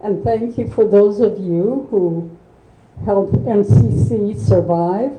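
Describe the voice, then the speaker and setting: Slow, earnest older woman author. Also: medium pitch, roomy sound, low-quality recording. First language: Low German. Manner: slow, earnest, soft-spoken. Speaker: author guest. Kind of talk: reflective conversation at a book event. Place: bookstore event space.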